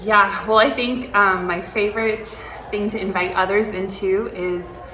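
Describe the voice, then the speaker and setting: A woman worship leader answering interview questions in a thoughtful, pausing way. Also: medium pitch, fairly even intonation, echoey room, background noise. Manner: thoughtful, with pauses and fillers. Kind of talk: casual interview chat.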